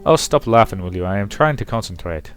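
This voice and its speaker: A man voicing a gallant, grumbling older gentleman suitor in a visual novel, formal and mock-indignant. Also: lowish voice, animated, fairly quick, soft background music, close to an everyday voice.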